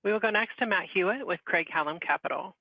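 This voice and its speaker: A woman's voice: mid-range voice, fast talker, no room sound. A woman working as a conference call operator, reading out scripted instructions. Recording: dry, dull conference call audio.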